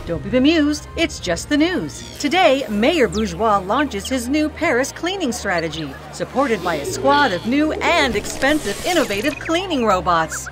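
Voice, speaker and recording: A woman playing a brisk, polished TV news anchor in an animated game, announcing crisply and dramatically. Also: fairly high pitch, music in the background. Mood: brisk, dramatic. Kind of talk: cartoon television news bulletin.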